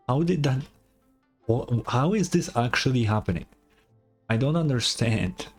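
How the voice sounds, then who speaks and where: A man's voice: mid-range voice, animated, unhurried, husky, soft background music. A man giving casual, incredulous, sweary reaction commentary as a game streamer.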